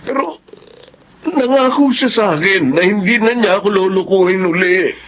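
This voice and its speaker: A man's voice: sits high, moderate pace, fairly bright tone. A man playing a hurt, trusting husband and younger brother in an emotive Filipino radio drama, earnest and wounded. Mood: shocked, disbelieving. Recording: dull lo-fi audio, faint noise.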